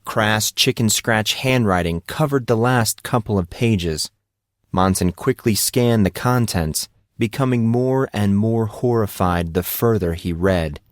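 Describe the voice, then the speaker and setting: A man's voice: on the low side, unhurried, dry recording, studio quality. A man narrating a scripted story as an audiobook narrator.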